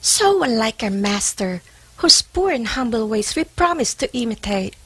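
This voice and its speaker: A woman's voice: fairly high pitch, big pitch swings, moderate pace, a bit bright, slightly rough, dry recording, exaggerated voice, poor audio. A woman playing a devout, plaintive nun in a stage play. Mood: devout, regretful. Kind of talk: amateur stage play acting.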